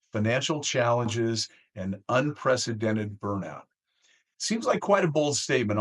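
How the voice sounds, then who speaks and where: A man with a somewhat low voice hosts an interview show. He talks conversationally, setting out his questions in measured, deliberate phrases. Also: fairly bright tone. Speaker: podcast host.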